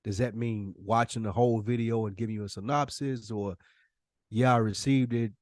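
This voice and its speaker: A man's voice: lowish voice, fairly even intonation, normal speed, darkish tone, dry recording, clean studio sound. A man co-hosting a podcast, talking casually with plenty of slang in a blunt, questioning manner. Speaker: podcast co-host.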